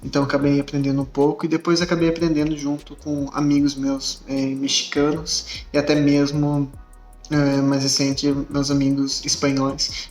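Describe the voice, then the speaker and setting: A young man, talking casually about himself to the camera and pausing often with fillers. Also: medium pitch, a little mellow. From Brazil. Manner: hesitant, many filler "é" and restarts. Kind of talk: casual self-introduction to camera. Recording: echoey room with faint music.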